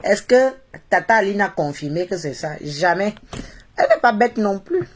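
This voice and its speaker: A woman's voice: medium pitch, animated, normal speed, slight background noise. Female live streamer, Cameroonian accent, mid-range voice congested by a cold. Casual, opinionated, mocking delivery that hammers points by repetition. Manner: mocking, opinionated, repeats phrases for emphasis. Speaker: social media live streamer.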